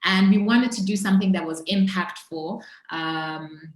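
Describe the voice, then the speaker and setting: A woman's voice: mid-range voice, measured pace. Zambian woman in an echoey room. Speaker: interview guest, entrepreneur. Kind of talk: personal storytelling in a conversational interview. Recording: roomy, echoing sound.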